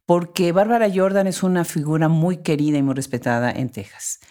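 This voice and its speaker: A woman's voice: deep voice, brisk, warm tone. A woman hosting a conversational interview, responding to her guest in an appreciative, encouraging way. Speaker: interviewer, host.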